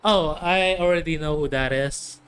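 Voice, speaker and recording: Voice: medium pitch, normal speed, fairly bright tone. A game streamer giving casual commentary in short, offhand, muttered reactions. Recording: faint game music behind.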